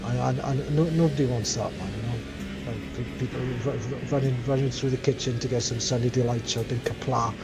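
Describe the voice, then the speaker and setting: A man's voice: medium pitch, fairly quick, over loud music, low-quality recording. A man co-commentating on a sim race in a casual, rambling, self-mocking way over an online call.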